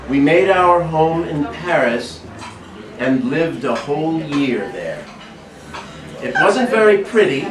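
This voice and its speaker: In a reverberant room with people chattering behind, an older man recites a poem. He is some distance from the microphone. His delivery is deliberate and halting, as at an informal reading among friends. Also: fairly high pitch. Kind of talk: poetry reading at a social gathering. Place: indoors, large room at a social gathering. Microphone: distant camera microphone. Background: people chattering and laughing.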